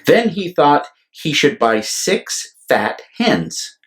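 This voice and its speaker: A man reading a picture book aloud to children as a storyteller, deliberate and expressive, with explanatory asides. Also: on the high side. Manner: deliberate, animated, explanatory asides to young listeners.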